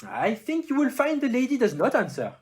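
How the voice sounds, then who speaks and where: Audio play detective played by an actor: courteous, self-assured, French accent, formal and theatrical, hugely animated pitch. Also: a little fast, natural voice. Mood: thoughtful. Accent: put-on French.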